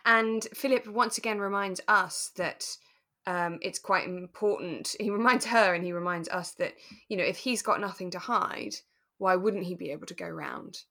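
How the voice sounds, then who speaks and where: Female podcast co-host, lower register, thoughtful and explanatory, relaxed discussion. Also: normal speed, gravelly. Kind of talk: relaxed discussion of a radio drama.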